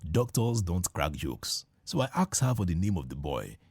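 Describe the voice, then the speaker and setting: A man, hosting a podcast and telling a comic story in a playful, animated way, acting out the dialogue. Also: deep voice, moderate pace, breathy edge, no room sound. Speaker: podcast host.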